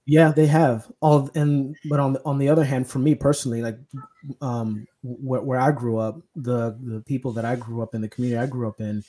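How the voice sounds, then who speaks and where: A young man from Kenya hosts a casual podcast chat. His voice is mellow and relaxed, and he talks haltingly, restarting his sentences and leaning on fillers. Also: mid-range voice. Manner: hesitant, many restarts and fillers. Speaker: podcast host. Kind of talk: casual conversation.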